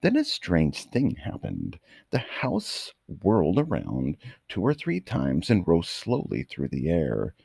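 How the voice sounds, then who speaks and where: A man with a gravelly, husky voice reading a children's bedtime story aloud in an expressive, dramatic manner. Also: sits low, takes it slowly, no echo.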